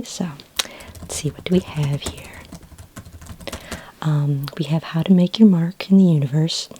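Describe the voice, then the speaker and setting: A woman speaking in a soft, breathy whisper as a friendly, patient librarian in a gentle ASMR roleplay. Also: low-pitched, a little hiss or hum. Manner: gentle, unhurried, helpful customer-service patter. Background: computer keyboard typing.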